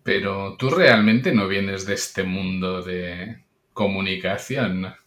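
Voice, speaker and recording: A man hosting a podcast interview, welcoming his guest and asking measured questions. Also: low voice, fairly bright tone. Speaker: podcast host. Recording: roomy echo.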